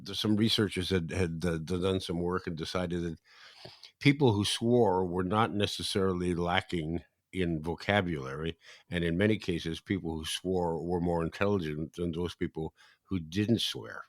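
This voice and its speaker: Low-voiced, stammering podcast host. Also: male voice, steady tone, moderate pace, no room sound, clean studio sound. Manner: hesitant, stammering restarts. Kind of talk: interview conversation.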